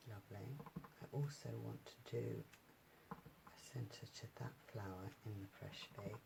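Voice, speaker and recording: A woman from the UK demonstrates card stamping, talking softly to herself as she goes. Her voice has a slightly gravelly edge. A steady background noise sits under the recording. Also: a bass voice, measured pace. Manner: quiet, murmured asides while working. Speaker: craft demonstrator. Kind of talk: casual crafting tutorial.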